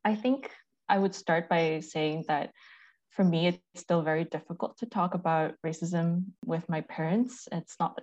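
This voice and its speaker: A woman from Canada, a research analyst, speaking reflectively and softly in a panel discussion. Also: deep voice, lo-fi sound. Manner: reflective, soft-spoken, repeats words.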